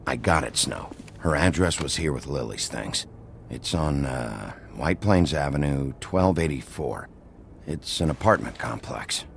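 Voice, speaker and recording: An actor playing a gruff, weary middle-aged sheriff in a video game, terse and dryly wry with a gravelly voice. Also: male voice, rumbling low, lively intonation, a little slow, a little hiss or hum, full character voice. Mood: businesslike.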